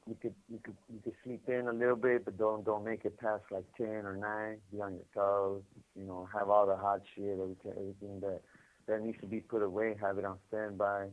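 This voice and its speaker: A man's voice: fairly low pitch, little pitch movement, a little fast. A man with a Californian accent telling a rambling story over a prison phone call, repeating words and using street slang. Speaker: incarcerated caller. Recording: tinny phone line.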